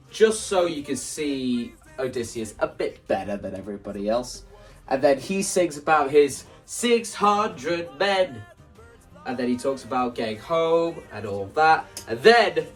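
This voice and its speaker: A young man talking casually and explaining his creative ideas in an animated, theatrical way. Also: medium pitch, recorded from a distance. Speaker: video creator presenting a stage play concept.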